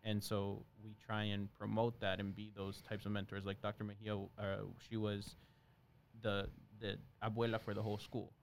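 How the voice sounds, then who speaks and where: Young male guest from Brazil, Portuguese-native, low gravelly voice, earnest talker. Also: monotone, moderate pace, slight background noise. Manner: earnest, chatty with frequent laughs. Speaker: guest, medical student. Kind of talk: casual conversation.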